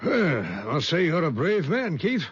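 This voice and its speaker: An actor playing a genial, sly older colonel in a 1970s radio drama, hearty, fussy and coaxing. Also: male voice, fairly high pitch, big pitch swings, a little slow. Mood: jovial. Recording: muffled 1970s radio broadcast with music.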